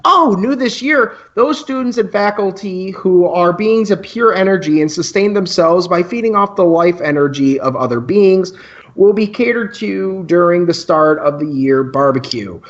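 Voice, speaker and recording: A man acts as an earnest young male student hosting a campus radio show in an audio drama. His voice sits fairly high, with polite, mock-official announcing and dry asides. The recording is a little dull and lo-fi. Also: lively intonation, normal speed. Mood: upbeat announcing. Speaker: radio co-host character. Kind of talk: radio play in campus news broadcast style.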